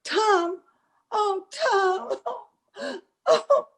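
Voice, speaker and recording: A woman's voice: very high voice, very slow, heavily acted. A woman playing an anxious, grieving young sister in an old time radio drama, earnest and questioning, recorded over a video call. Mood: grief-stricken. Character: worried, grief-stricken young woman, sister of a slain trooper.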